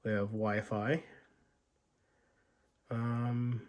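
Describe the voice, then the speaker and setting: A man with a hoarse voice from being sick, casually thinking aloud with many pauses and fillers as he walks through an old laptop's setup and specs. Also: medium pitch, level tone, darkish tone. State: hoarse from illness. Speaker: tech video host. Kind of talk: casual unboxing walkthrough.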